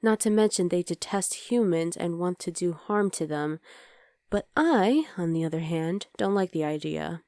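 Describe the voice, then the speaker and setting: A woman playing an affectionate, playful young demon girlfriend in an intimate ASMR roleplay, speaking softly to the listener. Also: on the low side, animated, moderate pace, no room sound, studio quality. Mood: earnest.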